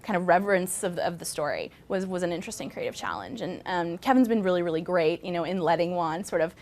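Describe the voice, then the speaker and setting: A woman's voice: fairly low pitch, lively intonation, fast talker, darkish tone. A woman talking casually as a production team member in an interview. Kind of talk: casual behind-the-scenes interview.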